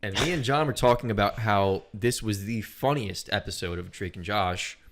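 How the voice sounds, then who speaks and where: Young American male podcast co-host, slightly low voice, relaxed conversational delivery. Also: normal speed. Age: young adult. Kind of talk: casual chat.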